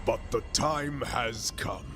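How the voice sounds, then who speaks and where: Slow, grave alien warlord voiced by a man. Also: medium pitch, over music, close to an everyday voice. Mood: stern. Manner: formal, solemn. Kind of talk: video game cutscene acting.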